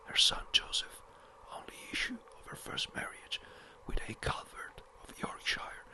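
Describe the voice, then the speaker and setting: A narrator whispering a classic ghost story in a hushed, evenly paced ASMR reading. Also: measured pace. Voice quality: soft unvoiced whisper.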